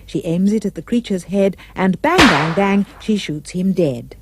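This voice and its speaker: A woman giving expressive, theatrical rhyming storybook narration for a puppet show. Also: medium pitch, measured pace, very noisy.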